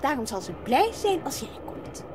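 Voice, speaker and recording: High, sing-song, coaxing aunt. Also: female voice, big pitch swings, normal speed, noisy background. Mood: explaining. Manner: sugary, persuasive. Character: coaxing, scheming aunt sweet-talking a child. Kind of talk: Dutch audio drama acting.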